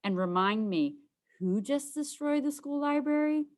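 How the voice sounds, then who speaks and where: A woman's voice: high-pitched, animated, measured pace, a little mellow, voice pushed up. A woman voicing a sarcastic, secretive young schoolgirl in a children's storybook, with a curt manner. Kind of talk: children's storybook read-aloud.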